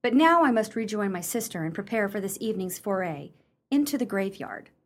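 A woman plays an arch, sceptical gentlewoman in a radio drama. Her mid-range voice is formal and genteel, delivering lines with dry wit. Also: mid-range voice, big pitch swings, a little fast, close to an everyday voice. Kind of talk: radio play acting.

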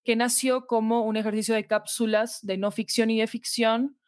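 A woman's voice: fairly high pitch, flat delivery, moderate pace, dry recording, clean studio sound. A woman explains her work conversationally in an interview, reflectively searching for precise words. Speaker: podcast fiction producer, interview guest.

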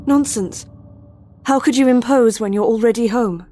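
Echoing ghostly wife. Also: female voice, fairly high pitch, moderate pace. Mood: eerily welcoming. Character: ghostly murdered wife, tender yet accusing. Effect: reverb. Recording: cavernous reverb under loud music.

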